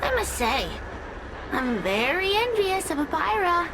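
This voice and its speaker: Sly mocking spirit woman, slow drawl, game voice, noisy background. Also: extremely high pitch, very animated, full character voice. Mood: gloating. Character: sly, mocking female fire spirit, gloating and haughty. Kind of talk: dramatic game voice acting.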